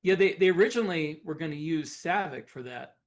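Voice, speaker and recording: A man's voice: fairly high pitch, animated, fairly quick. A man co-hosting a podcast in casual chat, explaining things informally and often correcting himself as he goes. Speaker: podcast co-host.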